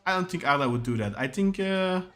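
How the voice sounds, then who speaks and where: Bright-voiced man hosting a football podcast. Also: a little high, animated, fairly quick, faint music behind. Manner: chatty, reads viewer comments aloud. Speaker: podcast co-host. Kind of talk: casual football podcast chat.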